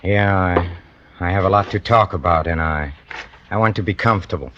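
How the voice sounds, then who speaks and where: An actor playing a smug, unhinged gunman in a 1940s radio thriller, speaking in a halting, taunting way. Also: sits low, dull audio, background noise, close to an everyday voice.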